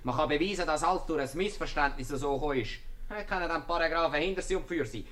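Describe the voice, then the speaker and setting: An actor playing a shrewd, bossy bailiff with a Swiss German accent, blunt and persuasive, in a 1950s radio comedy. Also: male voice, on the high side, quick pace, a bit bright, natural voice. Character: shrewd, bossy bailiff who settles quarrels.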